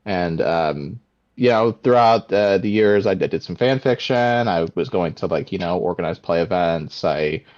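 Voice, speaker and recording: A man in his late twenties with a very low voice talks eagerly, sprinkling "like" everywhere. The sound is dull and lo-fi, as over a call. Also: animated, normal speed, darkish tone. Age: late 20s. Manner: filler-heavy, eager, many "like"s. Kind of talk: casual group video call chat.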